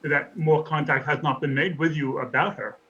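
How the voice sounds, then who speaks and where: A man's voice: on the high side, fairly even intonation, normal speed, bright, forceful tone, dull audio. A man hosting an interview, chatty and enthusiastic, asking leading questions. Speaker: interviewer, show host.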